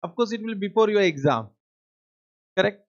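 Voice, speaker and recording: A man's voice: high-pitched, lively intonation, fairly quick. A man with an Indian English accent, a native Hindi speaker, gives a classroom lecture. He often checks in with his students and repeats his key points. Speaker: teacher. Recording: dull lo-fi lecture recording.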